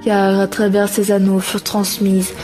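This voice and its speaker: A woman playing a solemn, wistful narrator of an ancient epic, measured, in a film prologue dub. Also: mid-range voice, completely flat delivery, lightly acted, poor audio. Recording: music under the voice.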